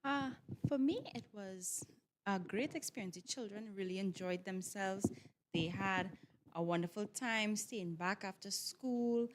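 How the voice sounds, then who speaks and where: Female teacher, mid-pitched, interviewed live with some background noise and clicks. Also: a little slow. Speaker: primary school teacher. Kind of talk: interview answer.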